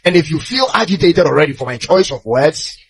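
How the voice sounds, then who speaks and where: Casting: Ghanaian man, somewhat high husky voice, blunt confrontational opinion commentary. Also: animated, a little fast, dry recording, low-quality recording. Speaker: social media commentator.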